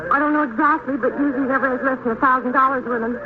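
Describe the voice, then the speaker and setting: A woman's voice: sits high, steady tone, very fast, natural voice. An American woman acting as a rattled, fretful New York witness pleading and explaining in a 1950s radio drama. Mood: shaken, explaining. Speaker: actress playing robbery witness. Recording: tinny, very noisy old radio recording.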